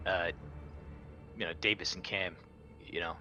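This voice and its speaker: Male roleplaying gamer, low-pitched with a bright tone, casual delivery as he speaks for his character. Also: fairly even intonation, unhurried, dull audio. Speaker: player in a tabletop roleplaying game. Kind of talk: casual in-character game talk.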